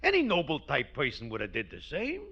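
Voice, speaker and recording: An actor playing an earnest, sappy and self-satisfied working-class American pal in a 1940s radio comedy. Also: male voice, medium pitch, wildly swooping pitch, brisk, a bit bright, husky, heavily acted. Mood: falsely modest. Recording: dull vintage 1940s radio recording.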